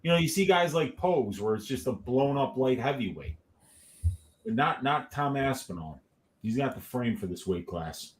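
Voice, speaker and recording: A man's voice: mid-range voice, animated, moderate pace. Laid-back man chatting fight talk. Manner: laid-back, conversational, chuckling. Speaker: podcast co-host. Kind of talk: casual sports watch-along chat.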